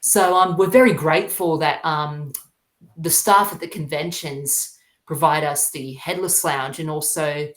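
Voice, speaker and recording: A woman chatting casually as a podcast guest, halting and often repeating her phrases. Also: low voice, fairly even intonation. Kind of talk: casual chat. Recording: roomy, echoey sound.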